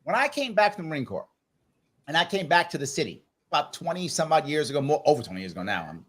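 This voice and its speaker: A middle-aged man from the Bronx, hosting a live panel discussion in an animated, interjecting way with repeated phrases for emphasis. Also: a little high, fast. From New York City, the Bronx. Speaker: panel show host.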